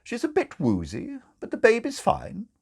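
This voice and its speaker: A man voicing a brisk, bossy, matronly senior nun with a firm, condescending manner in a storybook reading. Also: extremely high pitch, huge pitch swings, creaky, pitched above the performer's own voice, full character voice.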